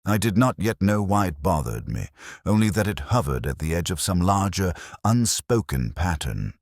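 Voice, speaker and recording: Very deep-voiced man narrating a detective story. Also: big pitch swings, gravelly, darkish tone, no echo, clean studio sound. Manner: measured, brooding, reflective. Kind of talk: scripted first-person detective story narration.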